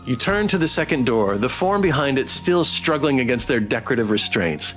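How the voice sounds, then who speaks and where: A man's voice: medium pitch, big pitch swings, brisk, a bit bright. A man streaming a game, chatting casually as he reads game text and viewer comments aloud. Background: game music.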